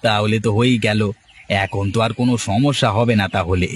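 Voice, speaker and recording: A man's voice: lowish voice, fairly even intonation, fairly quick, slight background noise, lightly acted. A man voicing a familiar, teasing older village man in a cartoon story. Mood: matter-of-fact. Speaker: cartoon character voice. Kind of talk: Bengali cartoon story dialogue.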